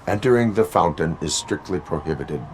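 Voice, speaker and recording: An actor playing a stoic cyborg police officer in a video game, formal and deadpan, speaking in stiff moral maxims. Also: male voice, sits low, fairly quick, a little hiss or hum. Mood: stern, even. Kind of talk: video game cutscene acting.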